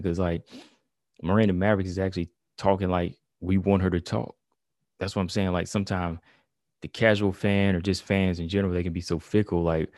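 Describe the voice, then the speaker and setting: Low-voiced man talking fight sports. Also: a little mellow, dry recording, studio quality. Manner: conversational, heavy on "you know" and "y'all", reads quotes aloud. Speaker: combat sports commentator. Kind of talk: casual commentary monologue.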